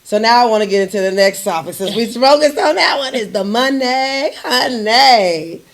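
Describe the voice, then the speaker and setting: A woman hosting an interview, affirming her guest warmly and speaking to her listeners with lively emphasis. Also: on the high side, normal speed. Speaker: host.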